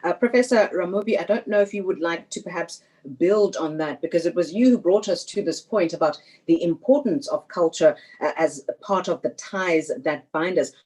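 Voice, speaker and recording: A woman moderating a panel discussion, putting questions in a measured, formal and courteous manner. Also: fairly low pitch, darkish tone, breathy edge, rough recording.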